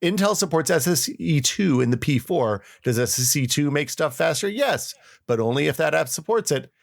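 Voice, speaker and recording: A man's voice: a little high, expressive, moderate pace, vocal fry, pitched above the performer's own voice. A man reading an old forum post as a smug, know-it-all tech reviewer, chipper and lecturing. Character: his younger self, smug know-it-all tech reviewer posting online.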